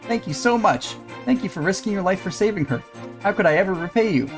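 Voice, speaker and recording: A man voicing an earnest, emotional mother in a visual novel, in a high-pitched voice. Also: lively intonation, quick pace. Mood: grateful. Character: frightened little girl and her grateful, emotional mother. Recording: music under the voice.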